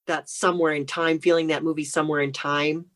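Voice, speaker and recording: A woman's voice: low-pitched, flat delivery, brisk. An American woman speaking as a guest novelist in a casual interview, conversational and explanatory.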